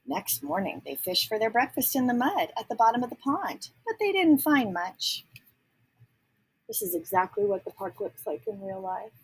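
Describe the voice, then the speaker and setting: A woman reading a children's storybook aloud expressively, pausing to add simple explanatory asides. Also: on the high side, very animated, husky, poor audio. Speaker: storybook reader.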